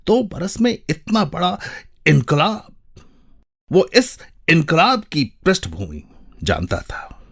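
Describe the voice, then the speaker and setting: A man narrating a literary story in a dramatic, expressive way, measured and pausing between phrases. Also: medium pitch.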